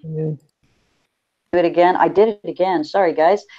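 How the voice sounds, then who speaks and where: A woman moderating an online panel over a video call, lively and upbeat as she hands over to each speaker. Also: on the low side. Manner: lively, upbeat, quick hand-offs. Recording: dull video call audio.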